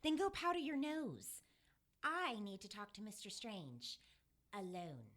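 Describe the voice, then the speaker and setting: A bright, bossy, catty woman. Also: mid-range voice, animated, a little slow. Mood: condescending. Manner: condescending, bossy. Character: pushy, catty woman bossing another around. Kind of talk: audio drama acting.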